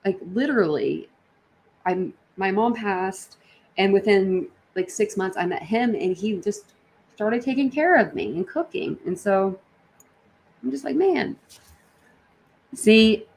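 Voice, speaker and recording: A woman's voice: mid-range voice, expressive, slow, long pauses, darkish tone, a little hiss or hum. A woman hosting a livestream, chatting casually with viewers in a rambling, informal way full of slang. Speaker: livestream host. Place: indoors in an apartment.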